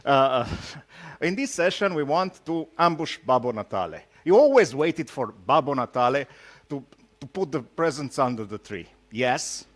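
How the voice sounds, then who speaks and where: A man from Romania with a Romanian accent gives an informal tech talk, speaking haltingly and teasing his audience with jokes. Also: medium pitch, sing-song. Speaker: conference speaker, solution architect.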